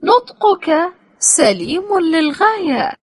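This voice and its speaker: A woman reading short phrasebook sentences slowly and clearly for language learners. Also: very high voice, very animated, no room sound, clean studio sound. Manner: slow, clearly spaced phrases. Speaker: phrasebook reader.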